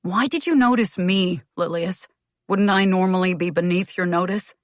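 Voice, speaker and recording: A woman voicing a calm, gently ironic American gentleman who speaks deliberately. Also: on the low side, no room sound, studio quality, natural voice. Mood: wry. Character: wry, cool-headed American gentleman suitor.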